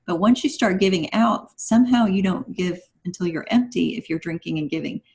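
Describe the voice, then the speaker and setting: A woman's voice: fairly low pitch, a little fast, vocal fry, darkish tone. A woman guest on a podcast, giving conversational advice in an instructive, encouraging manner.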